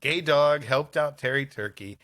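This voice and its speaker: A man's voice: fairly high pitch, a little slow, pushed and bright, no echo. A man co-hosting a podcast in casual comic banter, joking in a mock-serious way. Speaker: podcast co-host.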